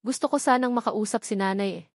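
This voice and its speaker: A woman's voice: mid-range voice, fairly quick, no echo, natural voice. Timid, polite romance heroine, acted by a woman narrator, Filipino accent, embarrassed to be helped, dry sound. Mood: hesitant, earnest. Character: shy, deferential woman, embarrassed to accept help. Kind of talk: audiobook romance dialogue.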